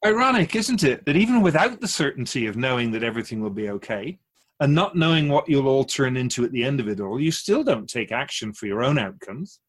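Man playing a husky, animated otherworldly Keeper of souls. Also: medium pitch. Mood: earnest, probing. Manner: measured philosophical explaining with rhetorical questions. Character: otherworldly Keeper of souls, wise, patient, gently challenging teacher. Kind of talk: radio drama dialogue.

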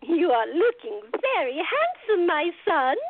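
An actress playing a fussy, doting older mother in a radio play, gushing and exclaiming with drawn-out words. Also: extremely high pitch, big pitch swings, narrow, tinny audio, slight background noise, exaggerated voice. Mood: gushing, delighted.

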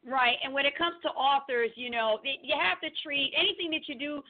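A woman's voice: high-pitched, brisk. A woman from Trenton, New Jersey, a guest on a radio interview, chatting upbeat and laughing often. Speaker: guest, blogging consultant. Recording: tinny phone line.

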